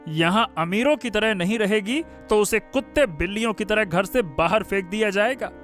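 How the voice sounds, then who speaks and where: A man's voice: high voice, normal speed, fairly bright tone, faint music behind. A man playing an arrogant, sneering grown son who plots in a cartoon family drama. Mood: contemptuous. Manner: sneering, contemptuous. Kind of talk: Hindi cartoon moral-story dubbing.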